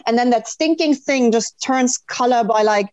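High-voiced, sweary woman chatting. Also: moderate pace, darkish tone, dry recording. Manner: sweary, emphatic. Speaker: podcast guest. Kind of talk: casual chat, telling childhood memories.

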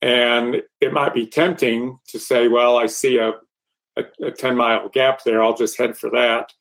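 A man's voice: mid-range voice, little pitch movement, fairly bright tone, low-quality recording. A man with a Texas accent co-hosting an aviation talk show, speaking casually with frequent fillers and restarts. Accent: Texan. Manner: halting, many fillers and restarts. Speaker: podcast co-host.

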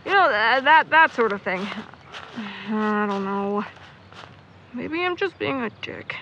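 A woman's voice: on the high side, expressive, slow pace, sharp tone, heavily acted. A young woman playing a stubborn, grumbling searcher in an audio drama, candid and wry as she thinks aloud. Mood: grumbling, musing. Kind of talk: audio drama monologue into a recorder. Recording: muffled, noisy outdoor-style ambience.

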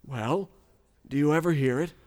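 A man playing a folksy, naively smug country boy who tells his story deadpan, with a rural American accent, in a radio parody. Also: on the high side, normal speed, close to an everyday voice. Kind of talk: scripted first-person storytelling, radio parody.

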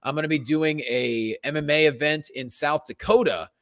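A man's voice: a little high, normal speed, a bit bright, dry recording, studio quality. A man co-hosting a comedy podcast with slangy, showman-like casual banter and plugs. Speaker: event announcer and performer.

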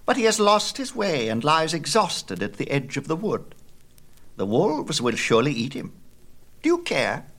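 A man's voice: on the high side, huge pitch swings, normal speed, fairly bright tone, pitched above the performer's own voice, full character voice. A man voicing a female hawk, a gossiping messenger bird reporting news in a mythology storybook. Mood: urgent reporting.

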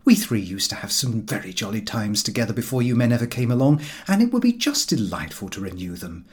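A man voicing a frank, brisk Edwardian wife, fond yet weary of her husband, in audiobook dialogue. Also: lowish voice, big pitch swings, slightly rough. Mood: brisk, coaxing.

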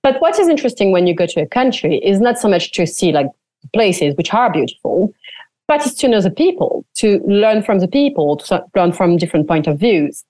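Young French woman, animated interview guest, dry close sound, filler-heavy talk. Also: lowish voice, dry recording. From France. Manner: animated, frequent "like" fillers, laughs often. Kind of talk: casual interview chat.

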